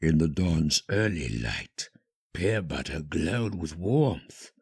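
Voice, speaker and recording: A man's voice: a bass voice, lively intonation, slow, gravelly, a little mellow, husky. A man reading a fan-fiction story as a dramatic scripted narrator.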